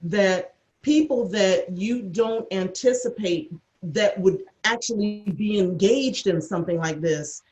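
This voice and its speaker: A woman chatting casually on a video call, telling anecdotes in an earnest, halting way. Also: mid-range voice, a little mellow. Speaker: audio editor and cast crew member. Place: indoor room on a video call. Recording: echoey room over video call.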